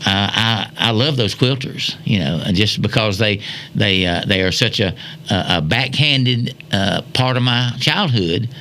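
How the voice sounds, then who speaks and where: A man's voice: lowish voice, expressive, unhurried, bright, forceful tone. A man in his seventies with a Southern American accent, telling folksy reminiscences in a rambling way, repeating phrases for emphasis. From Wilkes County, North Carolina, USA. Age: 70s. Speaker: storyteller guest.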